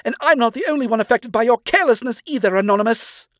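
A stern demon owl father, put on by a man reading a fan story, pitched well above the reader's own voice. He scolds and accuses in hard, pointed phrases that climb toward shouting. The sound is close and dry, with no room around it. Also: very animated, a little slow, no room sound, full character voice. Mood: furious.

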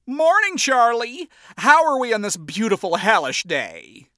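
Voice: medium pitch, huge pitch swings, a little slow, pushed and bright, no room sound, studio quality, voice pushed up, full character voice. A narrator voicing a flamboyant, theatrical king of hell who fusses over his daughter. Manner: theatrical, fussing. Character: flamboyant showman king of hell, doting father.

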